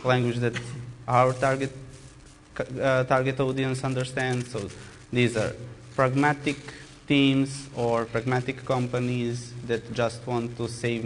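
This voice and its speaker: A man with a Serbian accent talks through his product in English, his pitch staying flat and unvaried. He restarts words and phrases often, over a faint background hum. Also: in a large hall. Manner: halting, frequent restarts and cut-off words. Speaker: software startup founder on a panel. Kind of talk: panel introduction.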